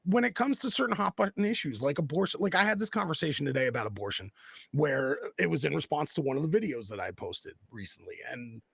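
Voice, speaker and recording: A man with a very gravelly, husky voice, speaking at a slightly raised pitch. He talks bluntly and with passion, swearing freely. The sound is close and dry. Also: very animated, fast talker, fairly bright tone, dry recording. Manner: blunt and profane, impassioned. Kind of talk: casual political chat.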